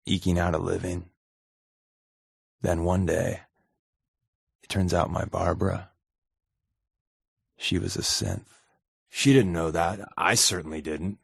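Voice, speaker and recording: A wry, secretly wounded undercover agent from a video game. His voice is a lowish man's, husky and recorded close and dry. He talks conversationally, with deadpan sarcasm. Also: no echo, exaggerated voice. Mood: somber, confessional. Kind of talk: video game companion dialogue.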